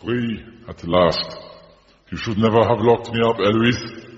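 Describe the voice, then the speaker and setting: Guy doing pompous old evil ghost, real deep voice, put-on foreign accent, muffled radio comedy sound. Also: unhurried, natural voice. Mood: triumphant challenge. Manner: pompous, menacing, formal. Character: vengeful ancient evil spirit, pompous male Burgermeister, possessing a man. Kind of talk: radio comedy drama acting. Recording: long reverb, dull band-limited sound.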